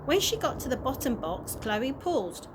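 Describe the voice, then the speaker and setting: Animated woman reading a children's story. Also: sits high, normal speed. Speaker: early years practitioner reading stories. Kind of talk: children's story read aloud. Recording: noisy amateur home recording.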